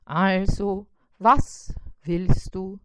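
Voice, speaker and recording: A woman voicing a grumpy, lazy male spider who grumbles slowly and wearily. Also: mid-range voice, steady tone, clean tone, no room sound. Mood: gruff. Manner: grumbling, slow and weary.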